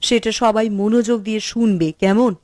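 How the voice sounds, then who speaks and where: A woman's voice: on the high side, steady tone, brisk, dark tone, dry recording, natural voice. Woman playing an earnest eldest sister, close dry sound. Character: responsible, earnest eldest sister leading her siblings. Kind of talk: Bengali cartoon story acting.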